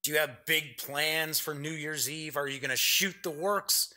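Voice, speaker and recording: Bright-voiced man hosting a gaming show. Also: a little high, moderate pace. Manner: folksy, chatty, rambling. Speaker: host of an online gaming show. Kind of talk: casual talk to viewers.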